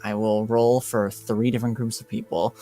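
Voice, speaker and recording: A man running a tabletop game as game master, narrating casually and haltingly as he thinks aloud. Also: on the low side, flat delivery, mellow, faint music behind.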